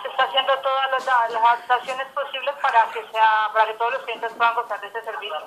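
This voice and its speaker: A woman's voice: a little high, little pitch movement, fast, over a noisy crowd. A polite, patient woman, working customer service on a tinny telephone line. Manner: polite, patient, informal address. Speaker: phone company customer service agent. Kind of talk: customer service phone call.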